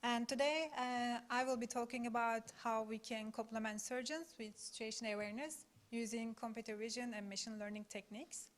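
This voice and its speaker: A woman from Turkey, a postdoctoral researcher, giving a conference talk in a measured, careful way with frequent pauses. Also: a little high, steady tone. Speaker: invited speaker, postdoctoral researcher. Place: meetup room.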